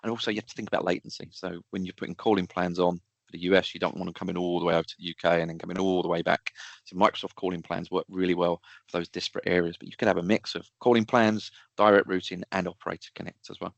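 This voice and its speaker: A man's voice: deep voice, flat delivery, fast talker, creaky, no room sound, highs cut off. A man giving an informal expert explanation in a technical webinar, going step by step with practical examples. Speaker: technical expert answering audience questions.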